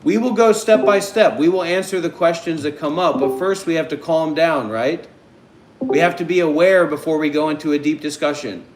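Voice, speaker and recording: A man hosting a group voice call, speaking calmly and deliberately, often repeating himself to keep order. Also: on the high side, reverberant, poor audio. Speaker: host of a voice chat session.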